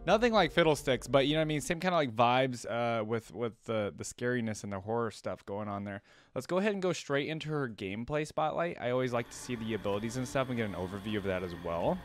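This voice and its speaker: A man's voice: medium pitch, fast. A young man giving casual reaction commentary as a video host. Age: young adult. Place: indoor room, seated in gaming chair. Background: game trailer audio and music.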